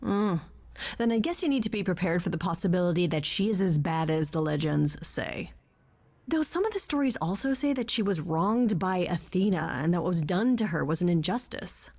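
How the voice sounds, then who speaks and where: A woman's voice: fairly low pitch, animated, normal speed, a little mellow. A woman voicing a loyal, nerdy young best friend in a video game, with a wry, teasing, naturalistic delivery. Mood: thoughtful. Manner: wry, teasing, hesitant asides.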